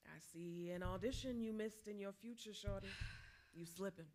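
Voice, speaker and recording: A woman's voice: medium pitch, moderate pace, a little mellow, natural voice. A woman in a staged play reading, playing a wise, streetwise mentor who probes and admonishes in slangy, insistent speech. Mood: goading.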